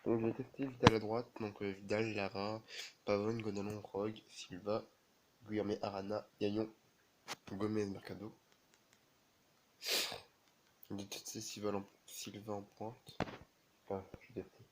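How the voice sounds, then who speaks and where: A man with a cold commentating his own video game match in a casual, halting way, full of fillers. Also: on the low side, little pitch movement, slight background noise, low-quality recording. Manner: halting, many fillers and restarts. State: has a cold, sneezing. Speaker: gaming video creator.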